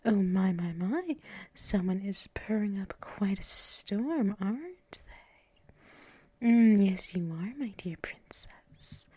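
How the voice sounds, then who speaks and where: A woman playing a doting, teasing, gently dominant mistress in an ASMR roleplay, breathy and mostly whispered, cooing close to the microphone. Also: medium pitch, lively intonation, no echo. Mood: playful, affectionate. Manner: slow, cooing, teasing, drawn-out pauses and hums. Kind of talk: unscripted ASMR roleplay, addressed to the listener.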